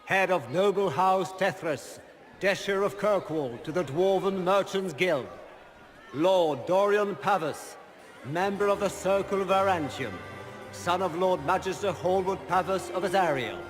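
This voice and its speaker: An actor playing a pompous court herald, ceremoniously declaiming the names of noble guests in a fantasy game. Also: male voice, sits high, unhurried, soft background music. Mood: grand, ceremonial. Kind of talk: formal announcing in a video game cutscene.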